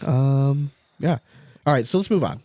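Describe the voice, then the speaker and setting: A man's voice: mid-range voice, lively intonation, a little slow, warm tone, no echo. Casual male podcast co-host, close dry sound.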